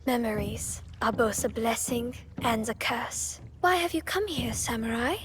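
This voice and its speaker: Sly, high-voiced defiant young woman. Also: animated. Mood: sweet, sly. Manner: quick-witted, sharp-tongued, playfully sweet. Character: sly, defiant young orphaned pirate's daughter. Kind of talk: dramatic game voice acting.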